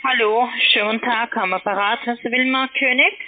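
A woman's voice: on the high side, steady tone, normal speed. Insistent foreign woman on a tinny phone. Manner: insistent, formal. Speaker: call centre agent. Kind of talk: telephone cold call. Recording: tinny narrow-band phone line.

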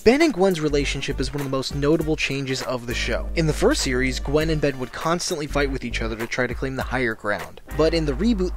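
A man co-hosting a video essay, reading scripted narration. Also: fairly high pitch. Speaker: co-host. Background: music.

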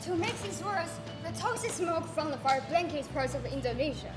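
A woman's voice: high-pitched, lively intonation, normal speed, over music. A teenage girl acting in a school stage play, speaking earnestly. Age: teens. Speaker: student performer. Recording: echoey hall stage recording.

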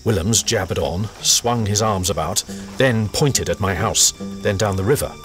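An actor playing an anxious, bitter colonial trader who talks in an indignant, self-pitying way. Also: male voice, lowish voice, moderate pace, natural voice. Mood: tense. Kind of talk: full-cast audio drama acting. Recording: studio audio drama with music bed.

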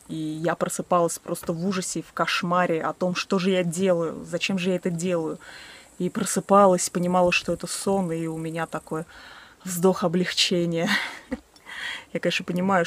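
A woman in her early forties talking casually and candidly to a camera on a selfie stick, outdoors in a garden, with a few self-mocking laughs. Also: lowish voice. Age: 40s. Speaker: vlogger. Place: outdoors by a wooden shed in a garden. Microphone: selfie stick camera at arm's length.

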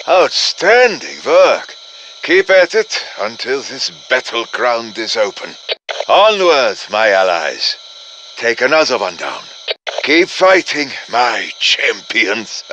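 An earnest German-accented professor in a video game, addressing his fighters with courtly drama. His voice is bright and forceful and swings widely in pitch. He takes his time over each phrase. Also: slight background noise, heavily acted. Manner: dramatic, urgent, courtly encouragement. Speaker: game character voice. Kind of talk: scripted video game voice lines.

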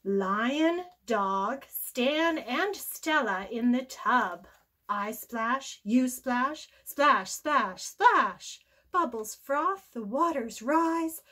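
An older woman reading a children's story aloud in an animated, sing-song, expressive way. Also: high voice, very slow. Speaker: storybook read-aloud host.

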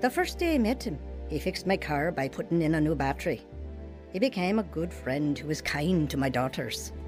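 A woman's voice: deep voice, big pitch swings, normal speed. An actress playing a troubled young mother telling her painful life story in a radio drama. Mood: sorrowful, reflective. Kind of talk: dramatised first-person narration, radio drama. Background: music.

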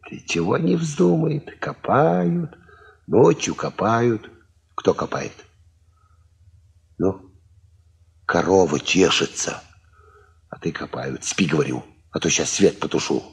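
A low-voiced old peasant grandfather in a Soviet radio play. He grumbles and mutters in a folksy, kindly way, with big swings of pitch. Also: expressive, takes it slowly, creaky, long pauses, exaggerated voice. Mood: grumbling, soothing. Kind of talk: Soviet radio drama acting.

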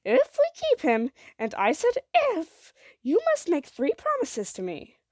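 Storybook mother, woman performer: protective, firm and deliberate, with lively emphatic swings in pitch. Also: dry recording, clean studio sound, heavily acted. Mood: stern.

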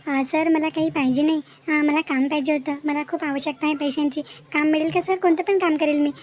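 A woman voicing a poor, proud and earnest schoolgirl in an animated moral story, politely insistent. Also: high-pitched, a little fast, close to an everyday voice. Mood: pleading, anxious.

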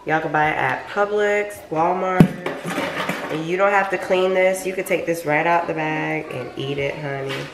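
A woman's voice: low voice, moderate pace. A young adult woman talking casually through her cooking in a home kitchen, picked up by a camera a few feet away. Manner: informal, talking while busy cooking. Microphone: camera mic a few feet away. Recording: roomy, noisy kitchen camera recording.

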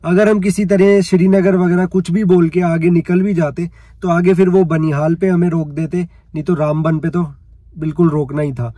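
A man's voice: fairly high pitch, fairly even intonation, moderate pace, dark tone, dry recording. A man vlogging a road trip, chatting casually to viewers while filming outdoors and around a rest house. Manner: chatty, keeps saying "so ji" and "dekh sakte ho". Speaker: travel vlogger. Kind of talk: handheld travel vlog narration.

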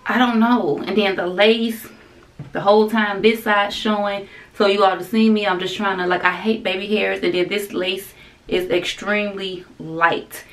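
A woman's voice: mid-range voice, fairly even intonation, normal speed, a bit bright, poor audio. A woman, chatting to camera at home in a loose, rambling vlog style and calling viewers "y'all". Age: 30s. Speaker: vlogger. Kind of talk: casual chat to camera. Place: bedroom at home, indoors.

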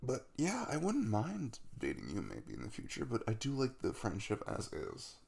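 A young man playing an easygoing, caring crush in an intimate ASMR roleplay, rambling casually with a jokey, reassuring manner and a gravelly voice. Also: medium pitch, huge pitch swings, moderate pace, darkish tone, exaggerated voice. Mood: gentle, hesitant. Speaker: audio roleplay performer.

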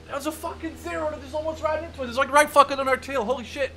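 A young man giving casual, profanity-laden gameplay commentary, excitable and often talking to himself as he plays. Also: very high-pitched, very fast. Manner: excitable, swears freely, talks to himself. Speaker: gaming YouTuber. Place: small room at home.

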